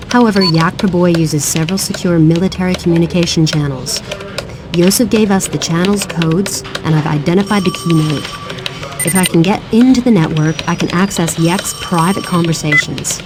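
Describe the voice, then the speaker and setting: A woman's voice: fairly low pitch, moderate pace, noisy background, natural voice. A woman playing a businesslike, dry-witted mission controller briefing an agent in a video game cutscene. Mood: brisk, businesslike. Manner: businesslike, clipped, wry.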